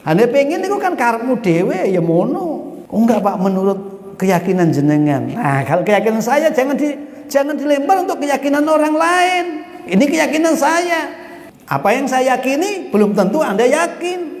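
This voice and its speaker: High-voiced Javanese-accented preacher in an echoey hall. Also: male voice. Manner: lively storytelling, mixing in Arabic and Javanese phrases, mimics questioners. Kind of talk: Islamic study sermon.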